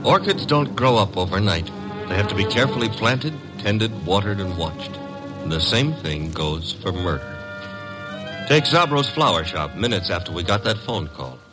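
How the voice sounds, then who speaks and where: An actor playing a wry, snappy detective's assistant who narrates in 1950s hard-boiled radio style. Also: male voice, lowish voice, lively intonation, normal speed, loud music behind the voice, close to an everyday voice, rough recording. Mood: hard-boiled narrating. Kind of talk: 1950s radio drama acting and noir narration.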